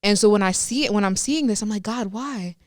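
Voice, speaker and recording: A woman's voice: mid-range voice, brisk, a little mellow, dry recording, clean studio sound. A young woman co-hosting a faith podcast, chatting casually in a rambling, self-interrupting way. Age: young adult. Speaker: podcast co-host. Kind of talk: casual chat.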